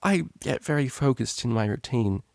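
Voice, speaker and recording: A man voicing a prim, high-pitched young cellist mare. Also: lively intonation, moderate pace, vocal fry, dry recording, studio quality. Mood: tentative, apologetic. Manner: precise, bookish, tentative. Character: prim, naive young female cellist pony, studious and earnest.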